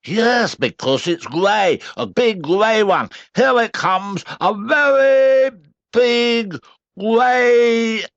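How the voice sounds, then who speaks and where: A man voicing a simple, kindly male bear who talks in a soft, bumbling, hesitant way. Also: sits high, sing-song, slow, no echo, lo-fi sound, voice pushed up, exaggerated voice. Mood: earnest.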